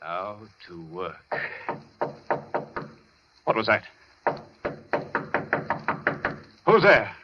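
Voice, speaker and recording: An actor playing a deranged, obsessive doctor in 1940s radio thriller style, melodramatic and menacing, going from hushed muttering to frantic cries. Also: male voice, on the low side, big pitch swings, takes it slowly, very noisy. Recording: muffled old 1940s radio broadcast, music.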